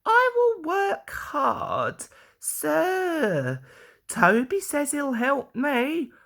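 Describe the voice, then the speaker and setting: A woman's voice: high-pitched, big pitch swings, drawn-out words, creaky, fairly bright tone, voice pushed up, full character voice. A woman voicing a meek, eager female diesel railcar, polite and earnest, in a storybook reading. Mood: humble.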